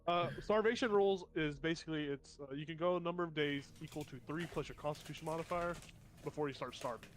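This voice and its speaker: A man's voice: fairly high pitch, fast. A young man running a tabletop game as game master, sniffling from a cold and explaining rules step by step with plenty of fillers.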